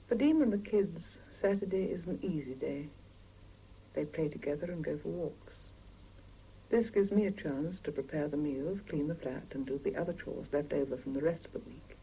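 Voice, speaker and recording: A woman's voice: deep voice, moderate pace, dark tone, low-quality recording. An actress playing a weary Soviet wife and mother in a 1970s radio drama. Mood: matter-of-fact. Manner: intimate, reflective when narrating, exasperated with family. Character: weary Soviet working wife and mother narrating her week. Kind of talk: 1970s radio drama acting and first-person narration. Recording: hissy 1975 mono radio cassette copy.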